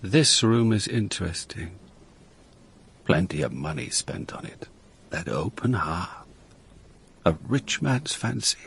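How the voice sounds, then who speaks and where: An actor playing an observant, brusque police inspector in a radio drama, with deliberate, clipped phrasing and a wry touch. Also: male voice, sits low, big pitch swings, gravelly, fairly bright tone, slightly rough, heavily acted. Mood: brooding, observant. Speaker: lead actor and narrator. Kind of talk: radio drama acting and first-person narration.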